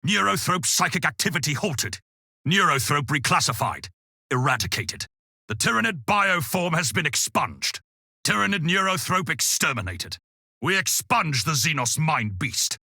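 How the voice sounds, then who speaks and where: An actor playing a cold, analytical space marine giving precise, formal reports in a video game. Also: male voice, fairly high pitch, big pitch swings, normal speed, creaky, long pauses, bright, forceful tone, breathy edge, dry recording, studio quality, full character voice. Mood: cool finality. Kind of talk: video game combat voice lines.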